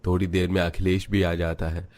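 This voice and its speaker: Deep-voiced male story narrator. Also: little pitch movement, moderate pace, a little mellow. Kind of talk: scripted dramatic narration of a cartoon story.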